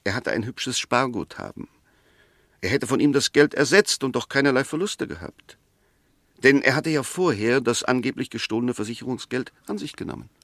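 An actor in a 1960s German radio play as an eloquent, argumentative defence advocate, speaking with precise, emphatic, scornful rhetoric. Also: male voice, mid-range voice, expressive, normal speed, a bit bright, no room sound. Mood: insistent. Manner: rhetorical, emphatic, pointed questions, precise diction.

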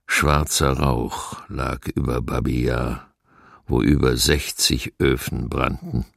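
An actor playing a grim, haunted forced labourer giving heavy testimony in a radio documentary drama, with a gravelly voice. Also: male voice, rumbling low, fairly even intonation, slow pace, a bit bright, dry recording, studio quality, exaggerated voice.

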